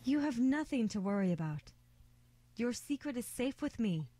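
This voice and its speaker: Visual novel role: caring young woman played by a woman, high-voiced, gentle and coaxing, dry sound. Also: sing-song, normal speed, no room sound. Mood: reassuring.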